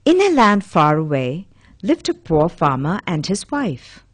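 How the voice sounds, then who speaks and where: A woman's voice: lowish voice, wildly swooping pitch, measured pace, breathy edge. A woman narrating a children's fairy tale as a scripted storyteller.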